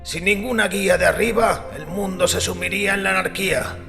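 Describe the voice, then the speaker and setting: A man playing a devout, defensive priest who argues earnestly in a gravelly voice, in a dramatized audiobook. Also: high-pitched, brisk, bright, forceful tone, full character voice. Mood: earnest defence. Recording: long reverberant hall with music behind. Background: music.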